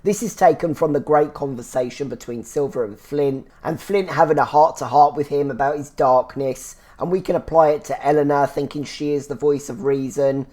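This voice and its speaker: A man's voice: on the high side, normal speed, hardly any pauses, a little mellow. A man hosts a casual solo review show. He sounds a little congested and phlegmy, and he talks in a chatty, rambling way with lots of "so yeah" restarts. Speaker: podcast host reviewing a TV series.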